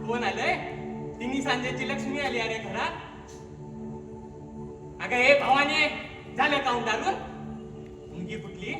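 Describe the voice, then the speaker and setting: A man's voice: extremely high pitch, measured pace, pauses often. A man playing a bitter, scolding husband. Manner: harsh, mocking, berating. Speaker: stage actor. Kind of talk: stage acting in a one-act play. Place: theatre stage. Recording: echoey hall, far mic, music.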